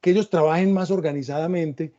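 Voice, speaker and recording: A man's voice: fairly high pitch, steady tone, a little fast, dark tone. A man moderating an academic panel, reflecting on the topic and adding stories. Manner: reflective, storytelling asides. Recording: dull lo-fi sound.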